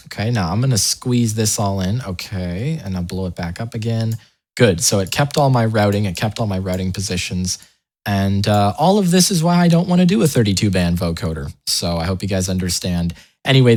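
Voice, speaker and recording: A man with a fairly low voice presents a software tutorial. He talks casually, as if to friends, dropping in wry asides. He often breaks off his own sentences to add something. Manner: informal, self-interrupting, wry asides. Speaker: tutorial host.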